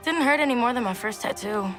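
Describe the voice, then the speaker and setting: A woman's voice: fairly high pitch, natural voice. A woman playing a sassy, defiant eighteen-year-old daughter in a video game, talking in casual, sarcastic slang. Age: young adult. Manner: sarcastic, exasperated, teenage slangy delivery.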